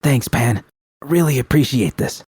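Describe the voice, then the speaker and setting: A man voicing a troubled woman mercenary in a story, anxious and halting in speech. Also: mid-range voice, lively intonation, a little mellow, husky, dry recording, clean studio sound, voice pushed up. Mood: doubtful. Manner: halting, hesitant.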